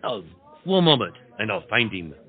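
A man's voice: lowish voice, very animated, moderate pace. A man playing an exuberant, idealistic French marquis in a theatrical comic period drama, grand and rousing, dropping French phrases. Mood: charming. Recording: tinny phone-like line, clear background noise.

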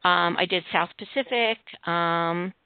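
A woman with a Midwestern accent hosting a casual chat, talking fast with many ums and restarts. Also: lowish voice, smooth, no room sound. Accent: Midwestern American. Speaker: host. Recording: tinny phone-like line.

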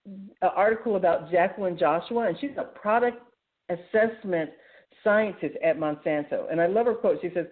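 A woman hosting a show, talking informally and enthusiastically as she walks listeners through announcements in a conversational way. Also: mid-range voice. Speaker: radio show host. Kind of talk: informal solo talk, announcing articles and events. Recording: thin, phone-like narrow-band sound.

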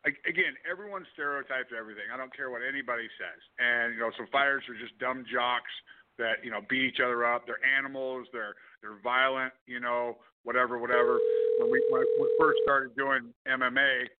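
A man co-hosting a casual talk show, talking excitably and rambling, often restarting his sentences. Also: medium pitch, fairly quick. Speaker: co-host, fighter. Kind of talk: casual chat. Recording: phone-like line.

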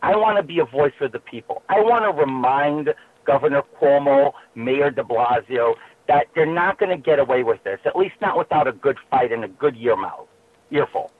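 A man's voice: medium pitch, animated, moderate pace, slightly rough. A man, a political candidate speaking passionately and combatively as a guest on a talk radio show. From Queens, New York. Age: 30s. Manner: impassioned, combative. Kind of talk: talk radio phone interview. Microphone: telephone. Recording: tinny phone line.

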